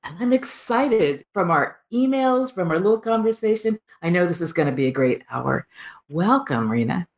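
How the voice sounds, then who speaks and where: A woman hosting a radio interview show, chatty and warm, laughing often and thinking aloud. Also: on the low side, animated, normal speed. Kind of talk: casual interview chat.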